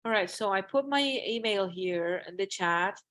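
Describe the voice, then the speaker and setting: A woman from Iran presents at an online meeting in a mid-pitched voice. She speaks haltingly, searching for her words as she goes. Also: animated, a bit bright. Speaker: guest presenter.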